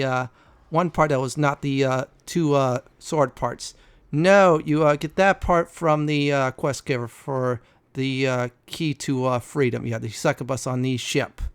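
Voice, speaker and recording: A man hosting a casual video game walkthrough, often pausing mid-sentence before key words. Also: a little high, smooth. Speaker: gaming video host.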